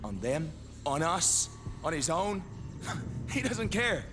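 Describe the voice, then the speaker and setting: A man's voice: on the high side, very animated, slow, noisy background. A man playing a tormented, unstable captive soldier in a video game, defiant and haunted. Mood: agitated, bitter. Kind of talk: dramatic video game voice acting. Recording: loud music over the voice.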